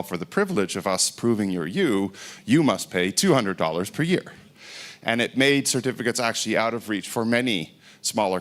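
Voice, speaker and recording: A man's voice: on the low side, a bit bright. Dutch man, casual conference speaker. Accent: American-sounding English. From Netherlands. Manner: informal, self-deprecating, many fillers and restarts. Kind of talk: technical conference talk. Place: conference stage.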